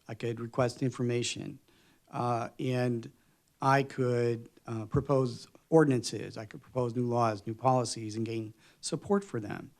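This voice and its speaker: A man speaks as a candidate at a public forum in a measured way, pausing often with fillers. His pitch is in the middle range. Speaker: board candidate.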